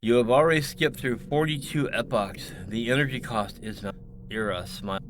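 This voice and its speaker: A man voicing a grave male synthetic assistant in a science fiction story, giving measured warnings. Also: medium pitch, slight background noise, close to an everyday voice. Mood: cautioning.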